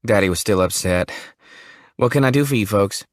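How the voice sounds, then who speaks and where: A man's voice: on the low side, normal speed, no echo, clean studio sound, natural voice. A man voicing a shy, nervous young man who works at his father's funeral home. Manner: jumpy, rural, keeps deferring to Daddy.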